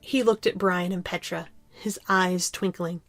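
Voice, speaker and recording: A woman's voice: on the low side, steady tone, a little slow, no echo, faint music behind. A woman reading scripted story narration as the narrator of an audio drama.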